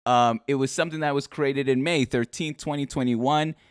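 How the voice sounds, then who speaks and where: A man's voice: mid-range voice, fairly even intonation, normal speed, clear, resonant tone, no echo, studio quality. A man hosting a podcast, upbeat and joking, swearing freely and talking to his guest like a pal. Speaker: podcast host. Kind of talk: casual podcast interview.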